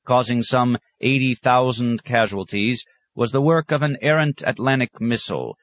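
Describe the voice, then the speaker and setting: A man's voice: medium pitch, fairly bright tone, no room sound, voice pushed up, close to an everyday voice. A man voicing an urgent, formal radio emergency news announcer in an audiobook. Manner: formal, crisp bulletin delivery.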